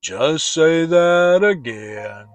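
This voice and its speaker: Old storybook donkey, gloomy, drawn-out, very slow delivery, doleful and pessimistic. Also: medium pitch, lively intonation, soft background music. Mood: doubtful, slow.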